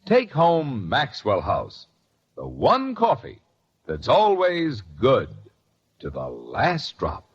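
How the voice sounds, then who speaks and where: A man's voice: medium pitch, wildly swooping pitch, takes it slowly. A man announcing a 1950s radio advertisement with a polished, hearty sales delivery. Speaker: commercial announcer. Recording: muffled 1950s radio broadcast.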